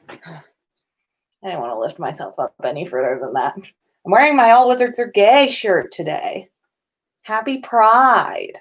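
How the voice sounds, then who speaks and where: A woman hosting a casual livestream from indoors at home, chatting informally with viewers. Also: on the high side. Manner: informal, chatty, sprinkled with swearing and giggles. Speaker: podcast host on a livestream.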